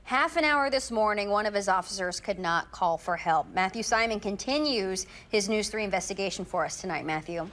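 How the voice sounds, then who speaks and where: A woman's voice: medium pitch, animated, brisk, hardly any pauses, a bit bright, slight background noise. A woman anchoring a broadcast, reading scripted news and introducing a report.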